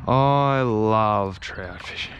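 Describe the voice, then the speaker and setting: A young man with an Australian accent narrating a casual fishing vlog in a laid-back, halting way. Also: on the low side, lo-fi sound, a little hiss or hum. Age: young adult. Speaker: fishing vlogger. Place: outdoors on a grassy riverbank. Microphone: body-worn or handheld action camera.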